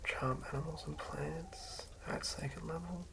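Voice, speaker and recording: A host whispering in a breathy, hushed ASMR style, reading aloud and commenting casually in an unhurried way. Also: mid-range voice, steady tone, noisy background. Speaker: host reading and building a tabletop game character.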